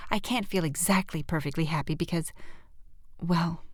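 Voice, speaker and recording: A woman's voice: on the low side, moderate pace, dry recording, clean studio sound, close to an everyday voice. Woman as a dreamy, talkative young girl. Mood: eager, dreamy. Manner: effusive, dramatic. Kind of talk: classic novel audiobook character voice.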